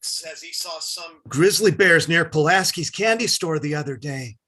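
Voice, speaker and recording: A performer plays a young schoolboy in a staged comedy reading. He sounds sharp and husky, an eager schemer who blurts and wheedles. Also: mid-range voice, moderate pace. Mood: casual, sly. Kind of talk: readers theatre comedy reading.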